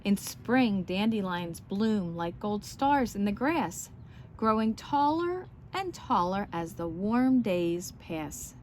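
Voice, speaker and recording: Slow-paced woman teaching and reading to children. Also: mid-range voice, animated, darkish tone, a little hiss or hum. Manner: explanatory, asks the child listeners questions. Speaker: children's story time teacher and reader. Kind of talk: read-aloud and show-and-tell for young children.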